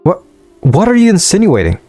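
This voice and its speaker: A man's voice: high voice, huge pitch swings, normal speed, mellow, no echo, faint music behind, pitched above the performer's own voice, full character voice. A man reading as a protective spirit deer. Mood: guarded. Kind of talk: reading game dialogue aloud.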